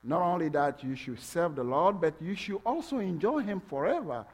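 A man from Togo with a French-English accent preaching a sermon in a church, halting with fillers, then building to passionate emphasis. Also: fairly high pitch, expressive, slightly rough. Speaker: pastor. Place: church sanctuary.

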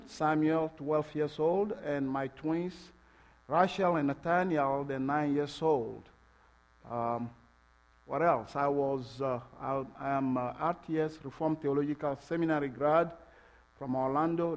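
A man from Togo with a French-English accent preaching a sermon in a church, halting with fillers, then building to passionate emphasis. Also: a little high. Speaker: pastor. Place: church sanctuary.

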